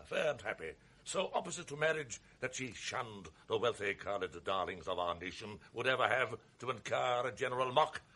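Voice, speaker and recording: An enraged old senator in a radio drama. He hurls accusations in a husky, bright voice with a wide pitch range. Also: full character voice. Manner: accusing, fierce rhetorical outbursts. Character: outraged old father, a venetian senator. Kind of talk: 1980s radio drama acting.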